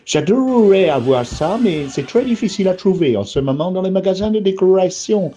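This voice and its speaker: A man's voice: high voice, animated, a little fast, warm tone, slight background noise. A man voicing a doting woman who dictates a rambling love letter, stumbling and mixing up places as she goes. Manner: rambling, hesitant, muddles places. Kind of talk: improvised comic letter. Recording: slightly muffled, faint music behind.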